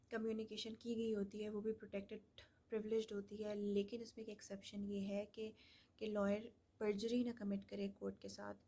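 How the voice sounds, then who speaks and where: A woman lectures in a plain, methodical way. Her voice is dark and relaxed, with very little rise and fall, at a middling pitch. English technical terms are mixed into her explanations. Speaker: law lecturer. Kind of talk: explanatory lecture mixing Hindi-Urdu with English legal terms.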